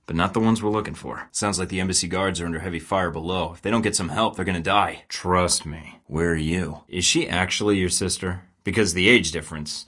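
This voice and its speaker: A man's voice: low voice, fairly bright tone. An actor playing a cool, sardonic male secret agent in a video game, with a dry, clipped delivery. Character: cool, wry male American spy in his 30s.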